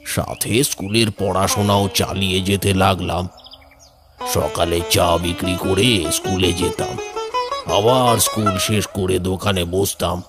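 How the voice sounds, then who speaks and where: A man playing a weary middle-aged village tea seller who tells of his hard past in slow, dramatic cartoon storytelling. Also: sits low, steady tone, over music. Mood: somber, reminiscing.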